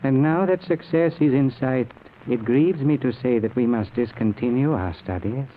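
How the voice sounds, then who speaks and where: An actor playing a grave, patient Eastern yogi in an old radio drama, speaking in measured, formal phrases. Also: male voice, medium pitch, narrow, tinny audio, natural voice. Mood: solemn, patient. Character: grave, patient yogi, wise mystic teacher from the East. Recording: noisy, thin old radio broadcast recording.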